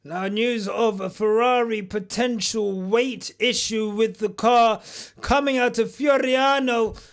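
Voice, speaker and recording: A man hosting a parody news segment, delivering it in a mock-pompous, boastful way with jokey asides. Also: very high voice. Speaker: comedic news host.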